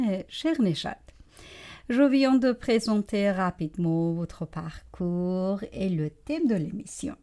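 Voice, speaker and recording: Animatrice radio, femme, lecture posée et mélodieuse, timbre feutré. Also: female voice, medium pitch. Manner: slow, expressive, poetic delivery. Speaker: radio host. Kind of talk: scripted radio introduction. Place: radio studio.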